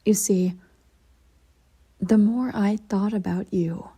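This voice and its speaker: Woman playing a regal evil empress, low-lit and creaky. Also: fairly even intonation, warm tone. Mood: confiding, imperious. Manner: formal, measured, arch diction with breathy near-whispered drops. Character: centuries-old evil empress, regal, cold, smugly possessive. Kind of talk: scripted audio roleplay monologue, intimate direct address.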